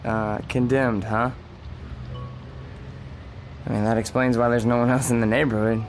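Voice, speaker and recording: A gentle young man played by an actor in a radio drama, with a medium-pitched voice. He is earnest and puzzled, stammering as he tries to reassure. Faint music sits behind him. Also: little pitch movement, moderate pace, close to an everyday voice. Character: gentle, puzzled man coaxing a frightened woman.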